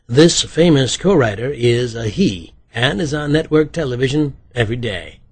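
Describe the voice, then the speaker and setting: A man's voice: mid-range voice, lively intonation, normal speed. A man voicing a teasing, theatrical wisecracker who tags along with police, in an audiobook. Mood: game-show showy.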